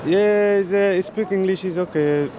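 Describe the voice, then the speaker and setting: A man's voice: high voice, unhurried, background noise. A man from Bangladesh, giving short hesitant answers in broken English. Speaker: street interviewee. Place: outdoors on a city street.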